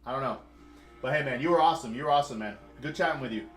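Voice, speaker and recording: Laid-back guy hosting a live stream, middle pitch, echoey room sound. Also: racing, over music. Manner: easygoing, talks like a buddy. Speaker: livestream host, financial advisor. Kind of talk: casual livestream chat.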